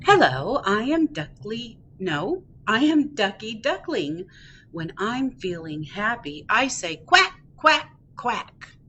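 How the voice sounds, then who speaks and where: A woman plays a playful little storybook duckling with a bright, animated voice. The duckling speaks in bouncy rhymes and quacks that swoop up and down, with some noise behind. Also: fairly high pitch, slow pace, full character voice. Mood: happy, excited. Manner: bouncy, quacking, sing-song rhyming. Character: cheerful, playful little duckling from a picture book.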